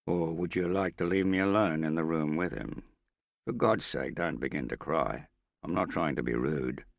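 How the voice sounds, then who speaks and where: A rough, contemptuous man speaks with open scorn, voiced by a male narrator. His voice is very low and gravelly, close and dry. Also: moderate pace, no room sound. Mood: brusque. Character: contemptuous, brusque man full of hatred.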